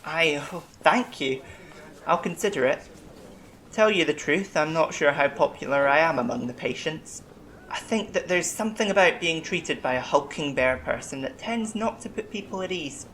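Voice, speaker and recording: An actor playing a gentle, formal bear-like alien medic in a science-fiction audio drama. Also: mid-range voice, lively intonation, a little hiss or hum, natural voice. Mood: polite, modest. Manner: polite, measured, formal.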